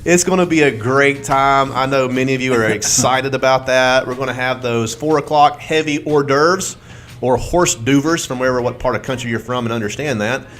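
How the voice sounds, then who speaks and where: A man's voice: mid-range voice, normal speed, fairly bright tone. A man from Alabama hosting a casual chat show, joking and self-deprecating. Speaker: host.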